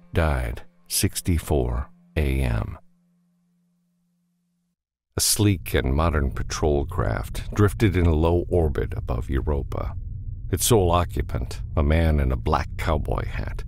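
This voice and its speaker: Deep-voiced, slow male audiobook narrator. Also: creaky, a little mellow. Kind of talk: dramatic scripted narration.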